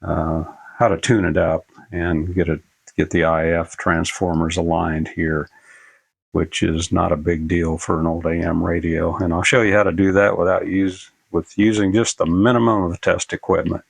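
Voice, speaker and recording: Very deep-voiced older man, gravelly. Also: little pitch movement, normal speed, dry recording. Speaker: presenter of a radio repair tutorial. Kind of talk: casual unscripted how-to demonstration.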